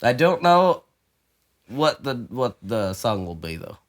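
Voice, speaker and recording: A man's voice: medium pitch, sing-song. A young man co-hosting a comedy podcast, riffing with goofy wordplay. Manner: goofy, quick wordplay and riffs. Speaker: podcast co-host. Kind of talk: improvised comedy podcast banter.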